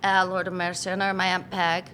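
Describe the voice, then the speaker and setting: Irish woman, 50s, bright chatty storyteller, interview guest. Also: lowish voice, monotone, a little fast, slight background noise. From Ireland. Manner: chatty storyteller, laughs easily, acts out quotes. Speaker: pub owner, interviewee. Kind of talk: casual interview chat.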